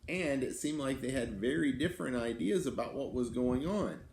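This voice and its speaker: A man's voice: mid-range voice, lively intonation, normal speed, creaky, a little mellow. A man hosting a children's story time, reading aloud expressively and adding simple explanations and questions for young listeners. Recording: roomy echo, some background noise.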